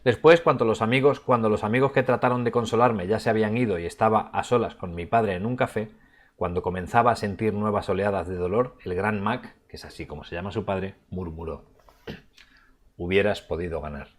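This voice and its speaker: A man reading a novel passage aloud in a measured way, going quieter on the quoted lines. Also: on the low side. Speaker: book reviewer.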